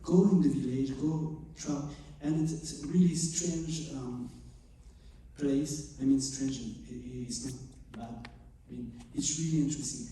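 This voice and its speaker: A choreographer from Morocco, answering audience questions after a show and searching for English words. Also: male voice, mid-range voice, fairly even intonation, measured pace, warm tone, recorded from a distance, a little hiss or hum. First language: not English. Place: theater stage. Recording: echoey theater heard from afar.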